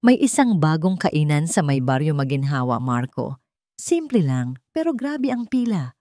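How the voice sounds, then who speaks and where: A woman's voice: deep voice, big pitch swings, normal speed, dark tone, no echo. Audio story casting: woman playing a chummy male business associate, casual, keen, dry close recording. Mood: enthusiastic. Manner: casual, enthusiastic.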